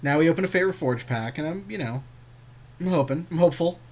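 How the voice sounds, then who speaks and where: A man's voice: on the high side, quick pace. A man co-hosting a podcast, chatting casually and agreeably about a card game draft. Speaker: podcast co-host.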